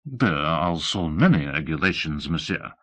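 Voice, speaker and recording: An immense French hotel concierge, played by a male narrator, speaks with a French accent in a low-pitched storybook performance. He is pompous and florid, dignified and slyly flattering. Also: moderate pace, no echo. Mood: evasive, sly.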